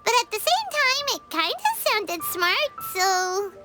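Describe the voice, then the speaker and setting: A woman voicing a small, excitable, fairy-like girl companion in a video game, perky and expressive, with music behind her. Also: extremely high pitch, a little slow, exaggerated voice. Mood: puzzled, amazed. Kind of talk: video game character acting.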